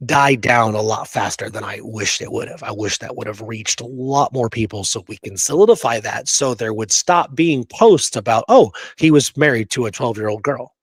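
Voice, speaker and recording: A man's voice: mid-range voice, animated, moderate pace, hardly any pauses, breathy edge, dry recording. A man hosting a history show, talking casually as he discusses research on a live call.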